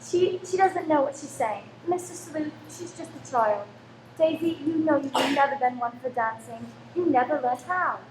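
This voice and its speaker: A woman's voice: sits high, lively intonation, moderate pace. A woman playing an anxious, flustered, protective mother and landlady in a radio play, speaking hesitantly. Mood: appeasing. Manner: hesitant, nervous, appeasing. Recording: echoey room with faint noise.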